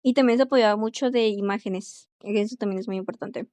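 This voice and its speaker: A young woman, an English teacher, chatting quickly in a casual conversation and filling her pauses with este. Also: medium pitch, darkish tone, dry recording. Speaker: English teacher and language student.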